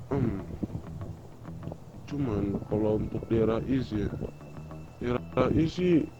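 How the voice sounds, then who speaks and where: A man's voice: mid-range voice, slow pace, heavy background noise. A man talking informally and hesitantly in character during an online gangster roleplay. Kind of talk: casual in-character roleplay talk. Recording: muffled lo-fi voice chat, music behind. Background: music.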